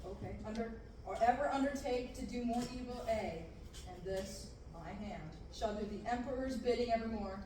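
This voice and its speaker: A woman reading the part of a menacing male dark lord in slow, grandiose Shakespearean verse at an amateur table reading. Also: a little high, crowd noise, natural voice. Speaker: table-read actor. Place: large classroom, indoors. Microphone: far camera. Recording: echoey room from far off.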